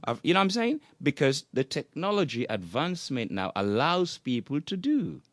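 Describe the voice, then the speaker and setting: A man's voice: on the high side, big pitch swings, moderate pace, dry recording. A man co-hosting a radio talk show, making his case earnestly and circling back with "what I'm saying is".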